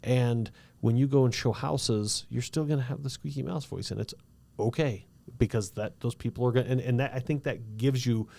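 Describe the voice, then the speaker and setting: A man's voice: mid-range voice, moderate pace, gravelly, warm tone. A man hosting a podcast in casual chat, chatty, often laughing and acting out quotes. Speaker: podcast host.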